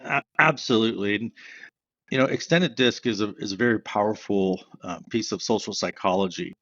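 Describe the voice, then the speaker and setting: A man from Canada, a sales trainer who is a guest on an interview podcast, explaining ideas step by step with examples. He describes himself as a loud, fast talker. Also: lowish voice, no echo. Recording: dry, slightly dull sound.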